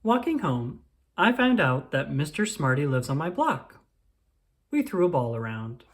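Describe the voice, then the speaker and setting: Expressive storybook reader with swooping pitch. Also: unhurried. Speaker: children's book reader. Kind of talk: storybook read-aloud, first-person child narrator.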